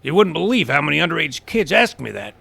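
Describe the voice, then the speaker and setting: An actor playing a shady, nosy drifter in an audio drama, sly and laconic with a gravelly voice. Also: male voice, a little high, sing-song, fast, sharp tone, full character voice. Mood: sly, cryptic. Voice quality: gravelly, creaky. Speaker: character actor.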